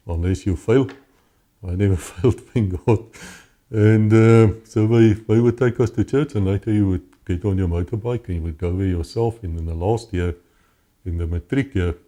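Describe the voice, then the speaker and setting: Deep, very dark-voiced Afrikaans South African man. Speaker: interview guest. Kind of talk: personal testimony, conversational storytelling.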